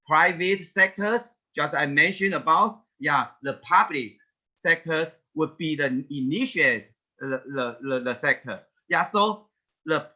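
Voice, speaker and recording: Bright-voiced man, halting and slow. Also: fairly high pitch. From Taiwan. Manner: halting, many yeah fillers and restarts. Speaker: guest presenter. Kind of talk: webinar presentation. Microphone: video call. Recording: muffled lo-fi video call.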